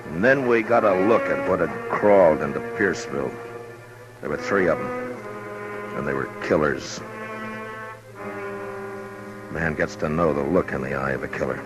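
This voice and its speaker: Deep-voiced, grave Western marshal. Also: male voice, rough recording. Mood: grim. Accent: American. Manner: terse, grave, deliberate. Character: stern, watchful male frontier marshal telling his story. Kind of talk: 1950s radio western acting, first-person narration and dialogue. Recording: vintage radio recording with music.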